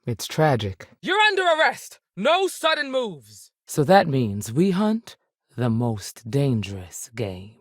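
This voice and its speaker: A woman's voice: deep voice, huge pitch swings, slow pace, no room sound, clean studio sound, exaggerated voice. A woman playing a seasoned, firm but fair space ranger in a video game, plainspoken and authoritative, with folksy turns of phrase. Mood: alarmed, commanding. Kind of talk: video game character dialogue.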